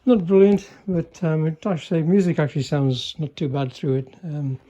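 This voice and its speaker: Mellow, understated older man. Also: on the high side, normal speed, muffled recording. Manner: understated, hesitant, trailing remarks. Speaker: radio repairer demonstrating a restored chassis. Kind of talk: casual hands-on demonstration.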